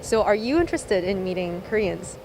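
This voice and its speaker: Casting: female interviewer, medium pitch, street questioning, noticeable vehicle noise. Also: expressive, fairly quick. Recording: clear street traffic noise.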